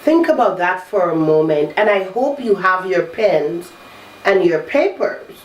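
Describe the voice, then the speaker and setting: A woman hosting a talk show, congested from a cold, coaching listeners in a slow, measured way. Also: on the low side, expressive. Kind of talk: reflective self-help talk. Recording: roomy echo, distant mic, faint noise.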